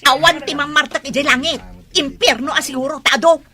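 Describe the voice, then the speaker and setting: A woman's voice: sits high, fairly even intonation, fairly quick, bright, forceful tone. A woman acting in a radio drama as a fed-up wife scolding her drunkard husband, nagging and sarcastic. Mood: scornful, scolding.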